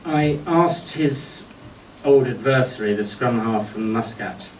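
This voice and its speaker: A man delivering a eulogy full of anecdotes, telling stories in short phrases with deliberate pauses. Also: medium pitch. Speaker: friend giving a memorial tribute. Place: golf club function venue at a memorial service. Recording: echoey distant mic with background noise.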